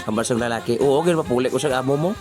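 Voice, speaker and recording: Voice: mid-range voice, quick pace. A talk show co-host with a Western Visayan Philippine accent, bantering casually in a playful, teasing way. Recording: loud music bed over the voice. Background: music.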